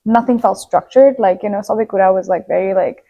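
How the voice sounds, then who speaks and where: A woman's voice: mid-range voice, fairly quick, creaky, muffled recording. A young woman from Nepal, a native Armenian speaker, chatting casually as an interview guest, filling her talk with "like" and acting out her own past thoughts. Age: young adult. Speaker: guest, university student.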